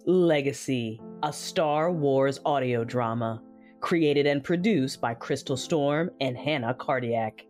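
A woman's voice: rumbling low, slow, darkish tone, faint music behind. A woman announcing a show in an upbeat, sing-song scripted read. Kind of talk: scripted promo and intro read.

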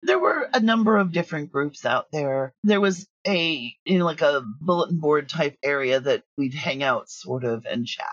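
A woman's voice: low voice, lively intonation, moderate pace, few pauses, dry recording, muffled recording. A woman chatting casually as an interview guest, telling stories with plenty of asides. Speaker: guest, audio drama creator.

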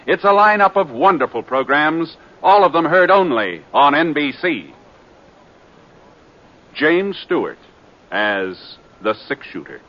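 A man's voice: on the high side, sing-song, takes it slowly, slight background noise. A man working as a network radio announcer, reading a script in a formal, polished 1950s style. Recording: muffled 1950s radio with music behind.

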